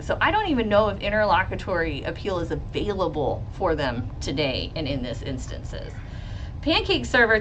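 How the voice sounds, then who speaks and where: A woman lawyer with a loud voice, hosting a live stream about a trial and reading chat questions. She talks bluntly, swears freely and laughs often. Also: medium pitch, slight background noise, low-quality recording. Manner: blunt and profane, with frequent laughs. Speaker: lawyer and legal commentator.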